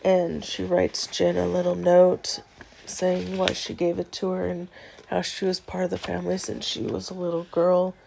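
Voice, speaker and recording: A woman in her thirties talks to her phone camera from bed, her voice hoarse and stuffed up from a cold. It sits low and gravelly, with a rough crackle at the ends of phrases. She rambles in a low-key way, filling her pauses with ums. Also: monotone. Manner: congested, low-key, rambling with many fillers. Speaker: book unboxing vlogger. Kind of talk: casual chat to camera. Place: bedroom, lying on a bed indoors. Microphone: phone held close at arm's length.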